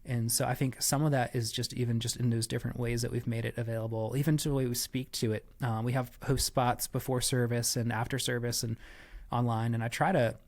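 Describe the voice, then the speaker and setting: A man's voice: mid-range voice, level tone, a little fast, a little mellow. A man giving conversational interview answers as a podcast guest, explaining things with frequent asides and qualifications. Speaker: church staff member, podcast guest.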